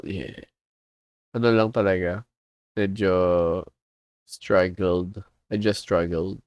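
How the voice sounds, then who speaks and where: A man with a Filipino accent rambles through a casual stream, slipping between English and Tagalog. He speaks slowly in a somewhat low voice, close and dry on the mic. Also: no echo. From Philippines. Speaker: streamer and comic artist. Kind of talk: casual livestream chat.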